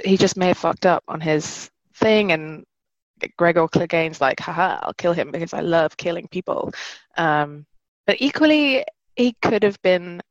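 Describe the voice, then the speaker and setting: Woman, podcast co-host, casual rambling chat, lowish voice, dry dull sound. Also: no echo. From Britain. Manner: chatty, hesitant, self-mocking jokes.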